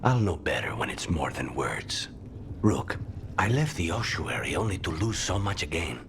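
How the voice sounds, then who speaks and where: Brooding fantasy game assassin: low, breathy, formal and intense, faint background noise. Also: male voice, big pitch swings, moderate pace, a bit bright, exaggerated voice. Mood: solemn, grateful. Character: brooding, grim male assassin, intense and honour-bound. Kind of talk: scripted fantasy game dialogue.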